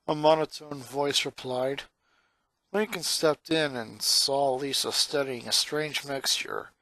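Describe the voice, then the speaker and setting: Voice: medium pitch, fairly bright tone, no room sound. A narrator reading a fan fiction story aloud in a storytelling style.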